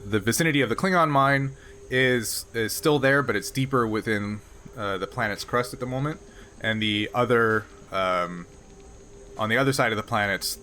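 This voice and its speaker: A man acting as game master, casually explaining a tabletop roleplaying game in a halting way. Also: on the low side, pushed and bright, a little hiss or hum.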